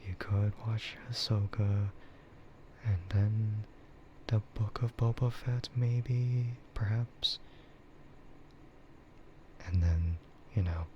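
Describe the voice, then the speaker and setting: Low, half-whispering man doing sleepy-paced ASMR. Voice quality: soft, breathy, often whispered. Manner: rambling, hesitant, informal. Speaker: VTuber streamer hosting an ASMR stream. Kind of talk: ASMR, soft half-whispered casual rambling. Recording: muffled lo-fi stream audio, clear background noise.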